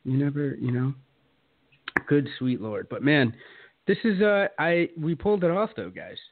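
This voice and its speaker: Male podcast co-host, jokey and quick to laugh, tinny lo-fi call audio. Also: on the high side, expressive, no room sound. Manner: laughs a lot, swears, jokey asides. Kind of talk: casual chat. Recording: dry, phone-like sound.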